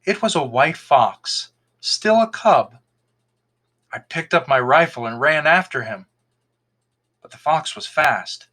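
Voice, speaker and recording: A man reading a folktale aloud as an expressive podcast storyteller, in scripted narration. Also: fairly high pitch, slow pace, pauses often.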